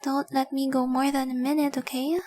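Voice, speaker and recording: Woman acting a high, breathy, adoring dragon girl. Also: flat delivery, moderate pace, mellow, close to an everyday voice. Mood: earnest, warm. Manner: tender, breathy, often dropping to a whisper, lingering on words. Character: adoring, possessive dragon-blooded young woman, engaged girlfriend. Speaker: audio roleplay performer. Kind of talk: intimate romantic audio roleplay, addressed to the listener.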